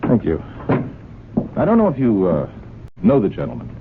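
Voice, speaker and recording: Low-pitched police sergeant, courteous, halting, quietly probing; narrow old radio sound. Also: male voice, wildly swooping pitch. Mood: polite, hesitant. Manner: hesitant, apologetic, courteous. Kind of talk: old-time radio drama acting. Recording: narrow tinny old radio recording, faint hiss.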